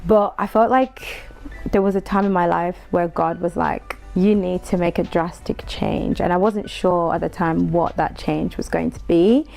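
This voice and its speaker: A woman's voice: lowish voice, fairly even intonation, moderate pace, vocal fry, darkish tone, soft background music. A young woman from Congo, a guest telling her story in casual conversation, laughing often and talking with animation. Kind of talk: casual interview chat.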